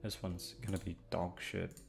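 A man's voice: low voice, flat delivery, normal speed, vocal fry, soft background music. A man chatting casually and hesitantly to his listeners, rambling along in a self-deprecating, sweary way. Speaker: audio content creator.

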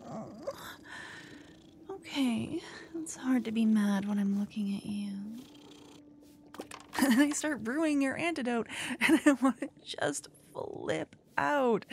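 A doting yet vengeful young witch girlfriend, acted by a woman in an ASMR roleplay. She talks slowly and close to the mic, breathy and often sinking into a whisper, with a dramatic edge of jealousy. Noise sits behind the voice. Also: fairly high pitch, a little mellow. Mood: calming, frustrated. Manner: dramatic, swinging from cooing to venting. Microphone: close ASMR microphone.

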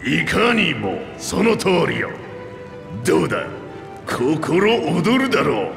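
Old lizard king, gravelly, animated, boisterous, commanding, fantasy game cutscene, echoing hall. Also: a little high, takes it slowly, heavily acted. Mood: hearty, teasing. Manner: grandiose, archaic elder speech. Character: boisterous old two-headed reptilian king, playful and commanding. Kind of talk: theatrical video game voice acting. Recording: echoey hall reverb, music behind.

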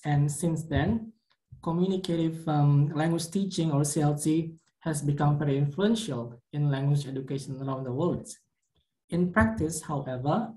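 Man, Indonesian native speaker, academic presentation, mellow voice, echoey room. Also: fairly high pitch. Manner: careful, measured academic delivery with some fillers. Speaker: PhD candidate presenting research. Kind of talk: recorded conference presentation over slides.

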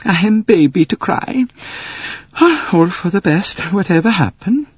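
A man putting on a tearful Swedish lady in a story, high-pitched, with halting, broken English. The reading is husky and dry. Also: very animated, no room sound, lo-fi sound, exaggerated voice.

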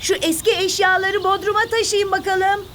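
Cartoon adult female: very high-pitched, household authority, faint noise behind. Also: flat delivery, moderate pace, fairly bright tone. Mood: brisk. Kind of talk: preschool cartoon acting.

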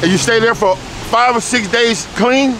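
A man's voice: very high voice, normal speed, noisy background. A man giving a blunt, profane lecture in casual street talk, speaking into a handheld phone in a rainy parking lot. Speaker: street interviewer.

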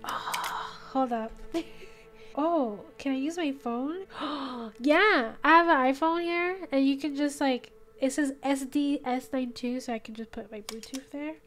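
Young woman, soft voice, high-pitched, chatty, gasps and laughs, boom mic, faint music in background. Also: a little slow. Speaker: product reviewer. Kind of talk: casual product review. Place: small home studio room, indoors. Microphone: studio mic on boom arm.

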